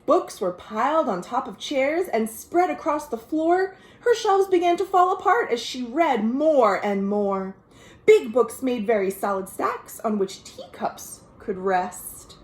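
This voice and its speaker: American woman, children's librarian, picture-book read-aloud, swooping expressive pitch, unhurried pace, sing-song delivery. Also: reverberant. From United States. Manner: expressive, sing-song reading with chatty asides to young listeners. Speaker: children's librarian hosting a virtual storytime. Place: at home.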